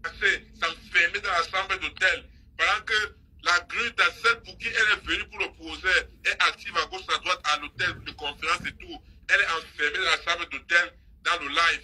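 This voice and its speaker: A woman's voice: fairly low pitch, fairly even intonation, normal speed, pushed and bright, breathy edge, low-quality recording. A woman hosting a live stream, scolding and mocking someone in an insistent, repetitive gossip rant. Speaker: social media live host.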